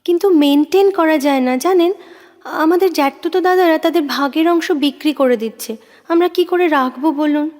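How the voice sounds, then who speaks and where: A woman acting an intense, moody younger sister in a Bengali audio drama, an ardent reader speaking in halting, fervent repetitions. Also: high-pitched, darkish tone, natural voice. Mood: eager. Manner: breathless, halting, repeats words with fervour.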